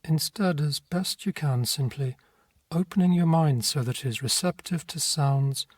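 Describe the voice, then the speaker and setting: A man narrating a guided meditation in a gentle, unhurried manner, leaving long pauses between phrases. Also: on the high side, slightly rough, no echo. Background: meditation music with singing bowl tones.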